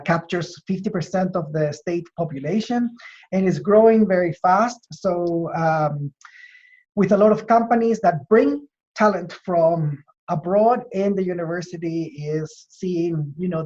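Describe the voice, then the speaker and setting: A man from Chile with a Chilean accent explains his work in an interview, in measured, hesitant phrases with repeated words. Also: high-pitched, darkish tone. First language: Spanish. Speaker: university administrator being interviewed. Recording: dull, lo-fi call audio.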